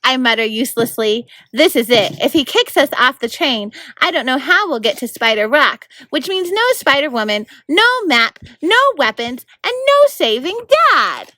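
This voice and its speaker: Woman reading a kids book aloud, brightish high voice, really dry sound. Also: very animated, moderate pace, hardly any pauses, no room sound. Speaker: host and story narrator. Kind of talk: scripted narration, children's book read aloud.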